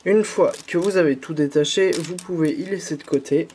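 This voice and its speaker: A man's voice: fairly high pitch, normal speed, darkish tone, a little hiss or hum. A young man from France with a slight head cold, patiently walking viewers through a candy kit tutorial step by step. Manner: patient, talking viewers through each step with many fillers. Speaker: YouTuber.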